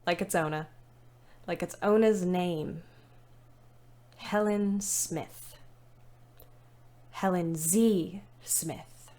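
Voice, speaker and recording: Measured, wry young diarist. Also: female voice, lowish voice. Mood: wistful, self-deprecating. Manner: measured, reflective, dryly ironic. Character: young British woman ambulance driver, wry, self-deprecating diarist. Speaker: narrator. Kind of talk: dramatic first-person diary narration in audio play.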